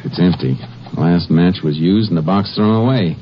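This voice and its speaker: An actor playing a calm, shrewd Texas Ranger who narrates and talks in a measured, matter-of-fact American voice, in 1950s radio western style. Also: male voice, deep voice, a little hiss or hum, close to an everyday voice. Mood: intent, suspicious. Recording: dull old radio recording with music.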